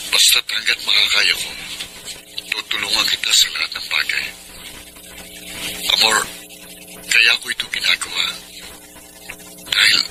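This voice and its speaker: An actor playing a devoted, insistent suitor in a Filipino radio drama, with a tender and earnest manner. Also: male voice, on the low side, natural voice. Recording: clearly noisy radio drama recording.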